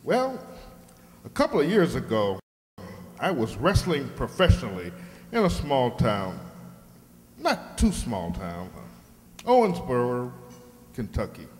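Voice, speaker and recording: A man playing an aggrieved, somewhat baffled professional wrestler as a contestant on an old-time radio quiz show. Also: mid-range voice. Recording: reverberant hall.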